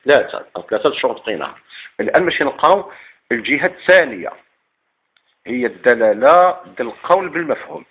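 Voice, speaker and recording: A man's voice: mid-range voice, sing-song, fast, highs cut off. A man with a Moroccan Arabic accent teaching a class on legal theory, quizzing students with repeated questions and then answering them himself. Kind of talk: classroom lecture.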